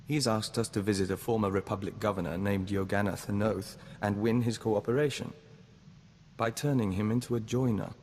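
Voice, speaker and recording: An actor playing a calm, dutiful diplomat bound to a hive mind, speaking formally in video game dialogue. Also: male voice, fairly low pitch, in a large hall, a little hiss or hum, close to an everyday voice. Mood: earnest, subdued. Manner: formal, measured, speaks of himself as we.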